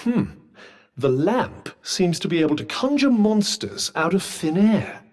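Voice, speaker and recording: A man's voice: sits high, big pitch swings, a little slow, darkish tone, heavily acted. An actor voicing a sentient magical cuff in a video game, a dry, witty and protective male companion with a British accent and a formal, well-spoken, advising manner. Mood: measured advice. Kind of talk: scripted game dialogue. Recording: long reverberant tail on voice.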